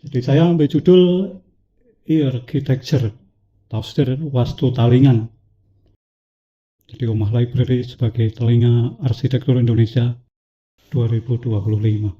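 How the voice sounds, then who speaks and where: A man with a cough reading a poetic prepared talk to an audience, slow and measured, with dramatic pauses. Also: medium pitch, steady tone, muffled recording. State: has a cough. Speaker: speaker at a talk event.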